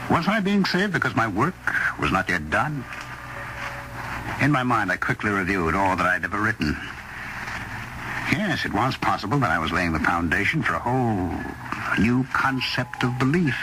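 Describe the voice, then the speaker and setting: A man's voice: on the low side, big pitch swings, moderate pace, noisy background. A man acting in a radio suspense drama, playing a brooding writer who grows convinced of his destiny, musing intensely in dramatic questions. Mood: musing, awed. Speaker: actor and first-person narrator. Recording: old radio drama with music underneath.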